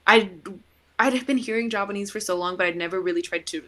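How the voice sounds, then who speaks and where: A woman's voice: medium pitch, quick pace, fairly bright tone, a little hiss or hum. A young woman chatting casually as a podcast guest, talkative, with frequent laughs and "like" fillers. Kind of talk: casual chat.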